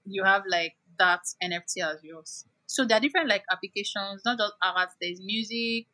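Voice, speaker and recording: A woman's voice: medium pitch, normal speed, bright, forceful tone, poor audio. A woman guest explaining things in a casual chat, with lots of "like" fillers.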